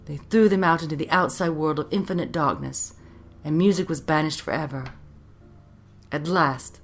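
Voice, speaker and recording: Female narrator, very low register, dramatic storybook reading, faint music bed. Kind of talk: scripted fairy-tale narration over music. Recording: narration over background music.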